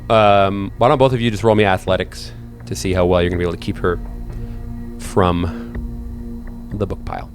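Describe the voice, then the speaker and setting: Low-voiced man running a tabletop game. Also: steady tone, soft background music. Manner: halting, repeats words while thinking aloud. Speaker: game master. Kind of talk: improvised narration of a tabletop horror game.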